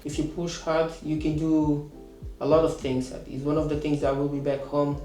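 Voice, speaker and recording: Hesitant man, mellow voice. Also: fairly high pitch, completely flat delivery, normal speed, roomy sound, faint music behind, low-quality recording. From Portugal. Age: 30s. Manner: hesitant, many fillers and restarts. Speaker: course student. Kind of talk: casual interview answers.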